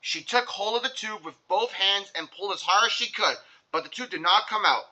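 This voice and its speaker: A man's voice: sits high, a little fast, sharp tone, rough recording. A young man narrating a children's storybook aloud. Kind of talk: animated read-aloud narration.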